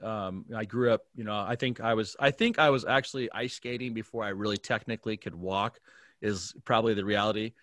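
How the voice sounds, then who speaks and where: A man's voice: on the low side, brisk, a bit bright, no room sound, studio quality. An American man from Alaska, a pastor, explaining faith conversationally and earnestly as an interview guest. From Alaska, United States.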